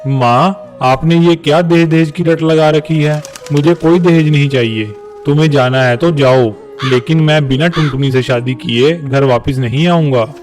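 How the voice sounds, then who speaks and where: A man's voice: on the high side, moderate pace, darkish tone, faint music behind, natural voice. A man voicing a principled young adult crow groom in a moral-story cartoon, firm and exasperated.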